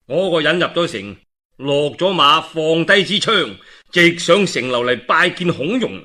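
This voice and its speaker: A man with a Cantonese accent telling a historical tale in a dramatic, expressive way with colloquial asides. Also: on the high side, unhurried. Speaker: storyteller. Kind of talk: animated traditional storytelling of a historical novel.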